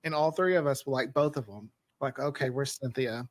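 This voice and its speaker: A man's voice: medium pitch, brisk, dry recording. A man co-hosting a casual podcast, bantering in a joking, self-deprecating way.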